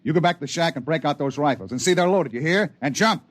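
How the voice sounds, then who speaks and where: An actor playing a gruff, plain-spoken middle-aged prospector and father in a 1950s radio drama. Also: a little high, animated, fast, lightly acted. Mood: wary. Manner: gruff, folksy grammar.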